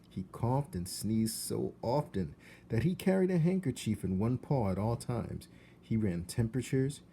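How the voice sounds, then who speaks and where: A man reading a children's story aloud as an expressive storyteller, deliberate, with dramatic emphasis. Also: on the low side, slight background noise.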